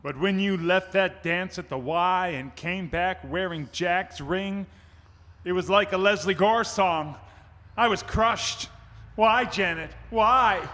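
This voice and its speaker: A man playing a melodramatic, anguished jilted sweetheart in a radio play, declaiming in a drawn-out way. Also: high voice, sharp tone, exaggerated voice. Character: heartbroken male actor, melodramatic jilted sweetheart. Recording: long echoing hall reverb.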